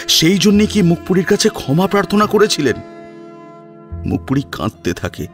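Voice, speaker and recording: Expressive male story narrator, swinging pitch. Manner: emotive, theatrical. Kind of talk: dramatic audio story narration in Bengali.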